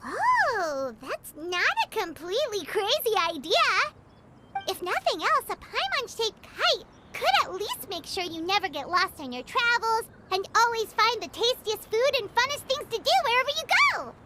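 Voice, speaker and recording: An actress voicing a tiny, chatty female fairy companion in a game, talking in an excitable, chirpy way. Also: very high voice, moderate pace, heavy background noise. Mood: bubbly.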